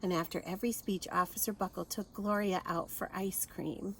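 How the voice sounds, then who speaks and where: A woman reading a picture book aloud to children in an expressive manner, adding asides and questions for her young listeners. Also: medium pitch, normal speed, slight background noise.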